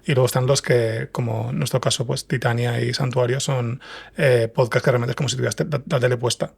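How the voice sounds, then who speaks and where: Man, mid-pitched, husky, gravelly creak, interview guest explaining. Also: flat delivery, fairly quick. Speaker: podcast guest, scriptwriter and fiction podcast creator.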